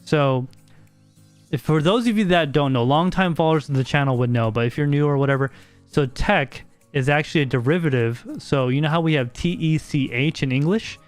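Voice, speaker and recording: This is an American man hosting a casual livestream. His voice sits a little high and sounds clean and smooth. He chats loosely, repeating words as he thinks aloud, over faint music in the background. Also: brisk, no room sound. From United States. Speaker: podcast livestream host. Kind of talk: casual livestream chat, reading out viewer messages.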